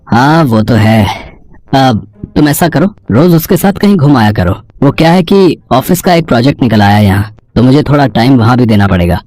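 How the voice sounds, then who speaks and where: A man playing a practical, cautious husband, measured and matter-of-fact, in a cartoon moral story. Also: mid-range voice, lively intonation, a little mellow, dry recording, natural voice. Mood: casual, practical. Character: practical, reasonable, cautious husband on a work trip.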